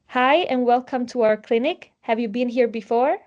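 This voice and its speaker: A woman's voice: a little high, moderate pace, lo-fi sound. Woman presenting a product demo. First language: Italian. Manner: explanatory, with frequent um fillers. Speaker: webinar presenter.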